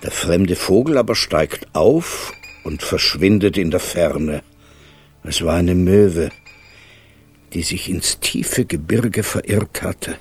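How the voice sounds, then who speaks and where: A man narrating a radio play as a storyteller, deliberate, pausing phrase by phrase. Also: low-pitched, expressive, faint music behind, full character voice.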